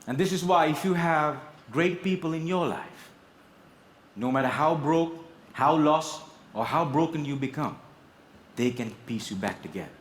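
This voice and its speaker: The recording is roomy, with clear noise behind the voice. A man gives an emphatic motivational speech, his pitch leaping up and down. He is theatrical and uses dramatic pauses for his audience. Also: lively intonation. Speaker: contest speaker.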